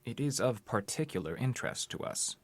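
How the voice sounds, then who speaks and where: An actor playing a cold, clinical interrogator in an audio drama, speaking in a stilted, formal, probing way. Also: male voice, mid-range voice, expressive, fairly quick.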